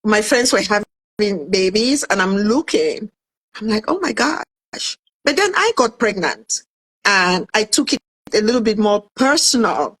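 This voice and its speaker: A woman's voice: medium pitch, poor audio. A woman in her fifties from Cameroon, a guest telling her story in a casual interview and acting out what she said back then. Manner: animated, acts out her own past remarks in quotes. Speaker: guest, author and food entrepreneur.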